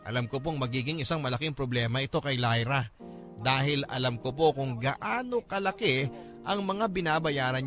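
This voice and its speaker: A man narrating a scripted first-person story as a radio host, polite and conversational. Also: mid-range voice. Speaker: radio drama host reading a letter. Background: background music.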